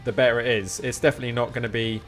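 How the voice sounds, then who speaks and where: A man's voice: medium pitch, steady tone, rapid-fire. A man talks casually to his live stream viewers in a mid-range voice. He rambles along informally and encouragingly, with music faintly playing behind him.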